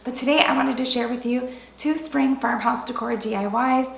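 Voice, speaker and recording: Raspy-voiced woman hosting a craft tutorial. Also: sits high, steady tone, moderate pace. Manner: chatty, explains each step plainly. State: raspy voice from recent illness. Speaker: home decor DIY channel host. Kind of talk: casual step-by-step craft tutorial.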